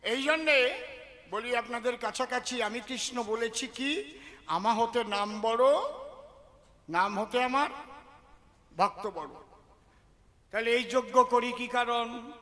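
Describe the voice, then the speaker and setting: High-pitched folk bard in reverberant hall. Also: male voice. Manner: theatrical, rhetorical questions to listeners, swelling from quiet to loud. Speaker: kobigaan folk poet-singer and religious storyteller. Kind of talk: devotional performance in rhymed verse, half spoken, half declaimed to an audience. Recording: live hall sound with long reverb.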